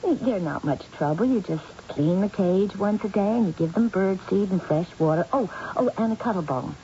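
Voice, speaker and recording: Chatty, encouraging neighbor played by a woman, mellow and very animated, vintage radio drama style. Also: medium pitch, normal speed, close to an everyday voice. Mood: eager, encouraging. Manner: folksy, coaxing, eager to suggest. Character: helpful, chatty, encouraging woman next door. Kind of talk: old-time radio drama acting. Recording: dull, noisy old radio recording.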